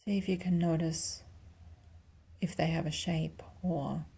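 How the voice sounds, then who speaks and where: A woman leading a guided meditation softly and calmly, with long pauses and a breathy, near-whispered delivery. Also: low voice. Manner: soft, calm, unhurried instruction with long pauses. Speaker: clinical psychologist guiding a meditation.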